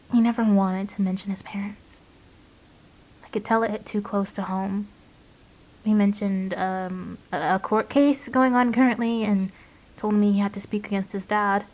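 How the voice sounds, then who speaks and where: A woman playing a gentle, earnest young waitress, confiding hesitantly in an audio drama monologue. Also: mid-range voice, natural voice, rough recording.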